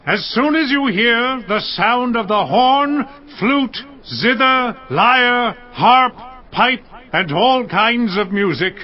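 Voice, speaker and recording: High-pitched, commanding royal herald. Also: male voice, expressive, slow, full character voice. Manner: formal, commanding, declamatory. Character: royal herald loudly proclaiming a stern decree. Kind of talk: dramatized scripture reading. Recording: dull band-limited audio.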